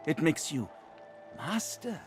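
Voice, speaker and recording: A man voicing a desperate, groggy lizard dreamer in a video game, pleading in halting, broken phrases. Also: on the high side, big pitch swings, over music. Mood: nervous, defensive. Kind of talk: dramatic video game voice acting.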